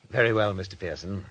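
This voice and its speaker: A man's voice: fairly low pitch, husky. An actor playing a pompous, condescending great man of radio in a 1940s-style radio drama, with a haughty, disdainful manner. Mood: reluctant politeness. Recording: muffled old radio drama recording.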